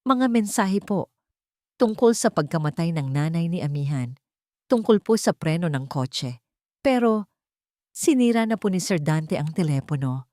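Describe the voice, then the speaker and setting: A woman's voice: on the low side, lively intonation, unhurried, pauses often, darkish tone, no room sound, clean studio sound. A woman voicing a frightened but brave, polite housemaid in a dramatic story, pleading for a child. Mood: fearful, urgent. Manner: trembling but resolute, polite.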